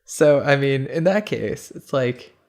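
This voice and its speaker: A man's voice: medium pitch, lively intonation, unhurried, a little mellow. Laid-back man in casual gaming chat. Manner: rambling, laughs often, many fillers. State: stuffy nose. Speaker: podcast co-host.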